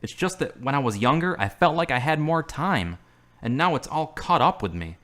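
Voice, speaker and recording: A man's voice: on the high side, big pitch swings, normal speed, fairly bright tone. A man playing a guilt-ridden grieving man. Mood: downcast. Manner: self-deprecating, hesitant. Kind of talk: amateur drama acting.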